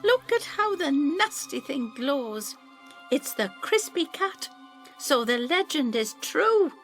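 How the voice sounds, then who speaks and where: A woman voicing a shrill, excitable, bossy female neighbour with a snappy, indignant manner in an audio story. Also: slow pace, faint music behind, full character voice. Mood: horrified excitement. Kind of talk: audio drama character voice.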